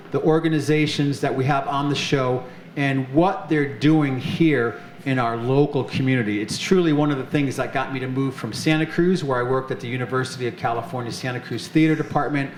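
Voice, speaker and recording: Upbeat male host working a live stage crowd, mid pitch, roomy sound. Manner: upbeat, chatty, many fillers. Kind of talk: live show hosting. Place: off-center stage of an arts center, live audience. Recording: echoey room with faint noise.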